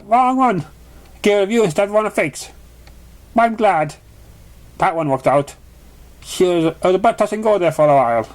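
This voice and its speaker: A man plays a friendly female unicorn pony from a fan story. The voice is pitched well up, chatty and a little rambling, with a worried, questioning manner. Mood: relieved, chatty.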